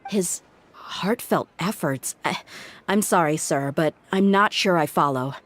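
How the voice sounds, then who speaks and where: A woman's voice: fairly low pitch, measured pace, fairly bright tone. A woman playing a tough, principled young female mercenary in a video game, speaking in an earnest, firm way. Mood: defiant. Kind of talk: video game character acting. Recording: music behind the voice.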